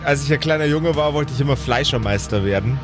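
A man's voice: mid-range voice, fast talker. A man playing a tabletop game, improvising casually in character. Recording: loud music behind the voice. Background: music.

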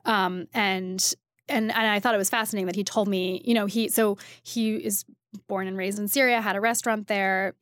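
Woman chatting haltingly, close dry recording. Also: mid-range voice, steady tone, a bit bright, no echo. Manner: halting, self-interrupting, frequent "like". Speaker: restaurant critic, interview guest. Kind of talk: casual conversational interview.

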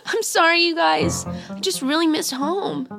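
A woman's voice: extremely high pitch, unhurried, creaky, over music, full character voice. A woman playing a cocky, lovesick, dramatic young male space cadet in improvised sci-fi comedy. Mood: plaintive.

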